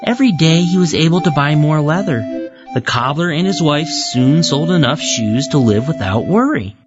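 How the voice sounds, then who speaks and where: A storyteller reads for young children with very lively, swooping intonation. The delivery is scripted, expressive and deliberate. The sound is a little dull and lo-fi, with music clearly behind. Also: medium pitch. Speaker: storybook narrator. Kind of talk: scripted children's read-aloud narration. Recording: muffled lo-fi audio with music behind.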